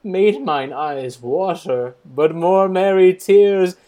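A dry master of revels at court, acted by a woman. The voice is low-lit and mellow, with a slow, deliberate delivery. It is classical Shakespearean acting for a radio play. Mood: wry, disdainful.

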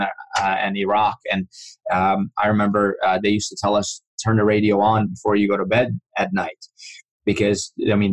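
A man in his forties from Iran speaks in a low, steady voice that barely rises or falls. He tells his memories earnestly as a guest, with frequent restarts. His delivery stays measured and even. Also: poor audio. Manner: earnest, restarts often, vivid recounting. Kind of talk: storytelling interview.

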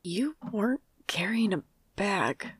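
A woman's voice: medium pitch, expressive, slow pace, husky, heavily acted. A woman playing a guarded, wry young adult who is estranged from family, talking and narrating introspectively in an audio drama. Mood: wry, casual. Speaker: main character and narrator.

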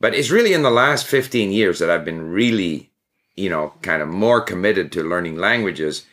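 Deep-voiced older man, relaxed storyteller. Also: normal speed. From Canada. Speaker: guest. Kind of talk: interview conversation.

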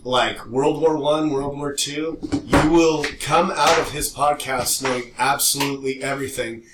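A man's voice: mid-range voice, steady tone, measured pace, noisy background. A man co-hosting a podcast in casual, slangy talk. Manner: informal, slangy, mildly profane. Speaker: podcast co-host. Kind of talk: casual chat. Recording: echoey room, distant from mic.

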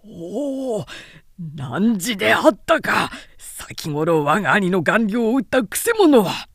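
Mighty ancient Chinese general, played by a woman narrator: proud, commanding, very deliberate, drawn-out storybook declamation. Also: medium pitch, very animated, dry recording, clean studio sound, heavily acted. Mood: menacing. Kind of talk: dramatic storybook character reading.

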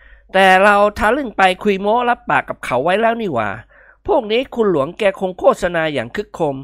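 A woman's voice: mid-range voice, very animated, brisk, dry recording, studio quality, pitched above the performer's own voice, natural voice. A woman voicing a cheeky, easily frightened rich young man who whines and blusters in a storybook reading. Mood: grumbling.

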